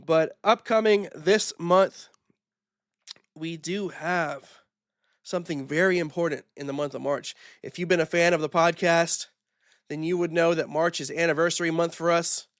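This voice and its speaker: Hoarse man ranting casually on a podcast. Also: on the high side, steady tone, moderate pace, no echo, studio quality. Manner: rambling, casual, lots of fillers and restarts. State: hoarse from recent illness. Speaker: podcast host. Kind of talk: solo wrestling opinion podcast.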